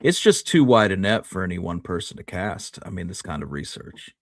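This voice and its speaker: Male history co-host, deep voice, informal researcher talk with read-out archive items, dry sound. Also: very animated, fairly quick, no echo. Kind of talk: casual discussion, reading out old newspaper items.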